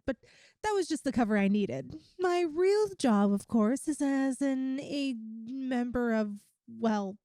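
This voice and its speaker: A woman voicing a sweetly obsessive young yandere girlfriend in an ASMR roleplay, talking coyly and chattily to the listener with halting, drawn-out emphasis. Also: fairly high pitch, mellow, no room sound, clean studio sound. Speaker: audio roleplay performer.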